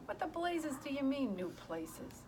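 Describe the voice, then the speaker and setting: A woman's voice: high voice, very animated, unhurried, background noise, pitched above the performer's own voice. A woman voicing a blustering, panicky male Air Force commander from a storybook, exclamatory and indignant.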